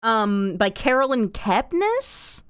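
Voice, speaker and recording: A woman's voice: fairly high pitch, very animated, drawn-out words, smooth, no room sound, studio quality. A woman livestreamer rambling casually to her viewers in a wry way, leaning on and repeating words with exaggerated emphasis.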